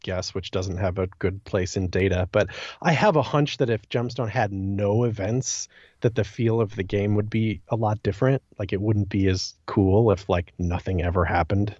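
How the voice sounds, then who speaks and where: Male podcast co-host, slightly low voice, informal and chatty, muffled audio. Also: normal speed, darkish tone, dry recording. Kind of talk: casual podcast discussion. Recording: dull, muffled, dry close audio.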